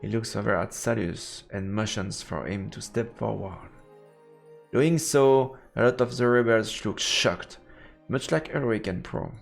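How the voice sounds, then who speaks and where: A man's voice: on the low side, measured pace, vocal fry. A man streaming a visual novel, reading the game's narration aloud. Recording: game music behind the voice. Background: game music.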